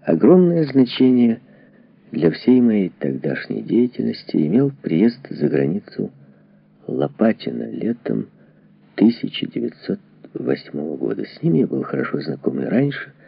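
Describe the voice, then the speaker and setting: A man narrating a historical memoir as an audiobook in a dramatic, deliberate way, with a husky, gravelly voice and telling pauses. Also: fairly low pitch, sing-song, dry recording. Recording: dull, lo-fi, dry audio.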